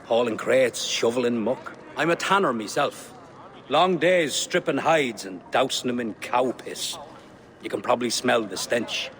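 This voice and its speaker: An actor with an Irish accent playing a cheerful, chatty common tanner in a historical video game. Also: male voice, medium pitch, expressive, a little slow, a bit bright. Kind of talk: video game dramatic dialogue.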